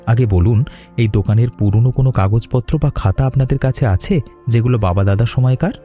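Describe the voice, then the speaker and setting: A man as a very mellow, courteous young traveller. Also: mid-range voice, natural voice. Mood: polite, serious. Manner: polite, measured, earnest. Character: courteous, reassuring young male traveller with business sense. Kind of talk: cartoon drama dialogue. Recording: music under the voice.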